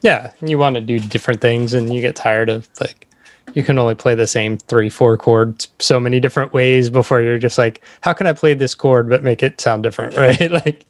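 A man's voice: medium pitch, steady tone, moderate pace, no room sound. A man hosting a podcast, asking questions in a casual, conversational interview style. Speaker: podcast host interviewing a band.

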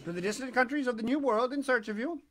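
A woman voicing a large, helpful male pigeon in a storybook, brisk and declarative. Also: a little high, slight background noise, pitched above the performer's own voice, lightly acted. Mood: informative.

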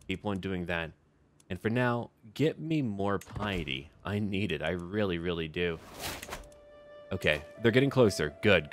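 Deep-voiced man commentating a strategy game. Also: a bit bright. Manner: talks to himself and to game characters in short clipped remarks. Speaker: gaming video creator narrating his own playthrough. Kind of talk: casual strategy game commentary, partly in character as ruler.

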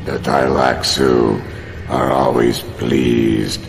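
An extremely deep, crackling alien schemer creeps through each word at a glacial pace. He is servile yet sinister, in the manner of a sci-fi game villain. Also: fairly even intonation, heavily acted. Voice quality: gravelly, guttural. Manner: drawn-out, deliberate delivery. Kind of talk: science fiction game cutscene acting. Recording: reverberant hall with clear background noise.

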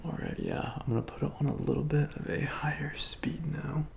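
A man in his twenties speaking softly and reassuringly in an ASMR personal attention roleplay, close to the mic in a small room. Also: a little high, huge pitch swings, fairly quick, creaky. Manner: gentle, reassuring, half-whispered. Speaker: ASMR performer. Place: small dim room indoors.

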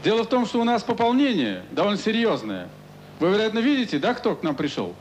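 A middle-aged presenter speaks formally and evenly into a handheld mic in a large studio. His voice is fairly high, with faint hiss behind it. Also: very animated. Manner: formal, measured. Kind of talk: broadcast moderation.

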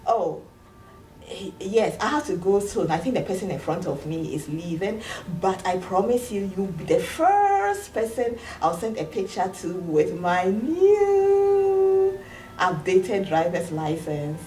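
A woman's voice: medium pitch, big pitch swings, slow pace, warm tone. Woman acting eager gushing ghanaian immigrant lady in a homemade skit, apologetic, echoey, mic far away. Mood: elated, gushing. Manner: gushing, hesitant restarts. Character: excitable, eager, apologetic immigrant woman with a new green card. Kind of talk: amateur comedy sketch acting. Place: indoor office waiting room and counter. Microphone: far camera. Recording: roomy, distant camera mic with hiss.

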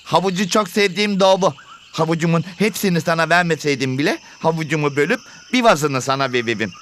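A man's voice: fairly high pitch, lively intonation, fairly quick, a bit bright, a little hiss or hum. A man voicing a patient rabbit pupil in an educational cartoon, earnest and reasoning. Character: patient rabbit pupil who loves carrots.

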